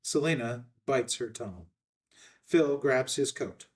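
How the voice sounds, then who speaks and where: A man's voice: medium pitch, animated, unhurried, far from the mic. A man reading screenplay stage directions as scripted narration. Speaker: stage directions reader at a table read.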